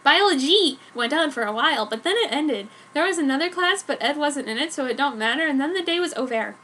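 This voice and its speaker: A woman narrates fan fiction as a dramatic reading. Her voice is high-pitched and bright, with theatrical first-person delivery and some noise in the background. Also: lively intonation, fairly quick.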